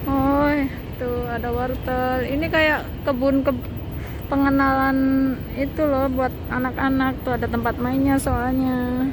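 A woman's voice: high-pitched, little pitch movement, a little slow. A woman from Indonesia walking around a rooftop garden, recording on her phone and chatting curiously as she keeps asking what each plant is. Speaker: vlogger. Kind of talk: casual walking tour commentary. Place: outdoor rooftop garden in a city. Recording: noisy outdoor city ambience. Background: city ambience and passing traffic.

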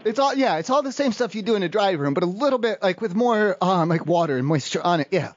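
Prank caller, male, high fast voice, rambling and stammering, feigning innocence, muffled lo-fi audio. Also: expressive, no echo. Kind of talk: prank phone call.

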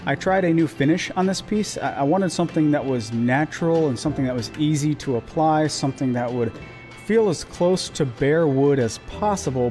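A man's voice: on the high side, dark tone. A man in his thirties talks through a woodworking build in a voice-over. His delivery is plain and practical, with small restarts along the way, over faint background music. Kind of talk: voice-over narration of a workshop project.

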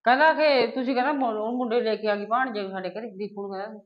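An actress as a blunt, indignant Punjabi mother in an amateur family drama. She complains quickly in a high voice. The recording is dull and muffled. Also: steady tone, natural voice. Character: indignant, outspoken Punjabi mother of a married daughter. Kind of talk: amateur short-film family drama acting, conversational.

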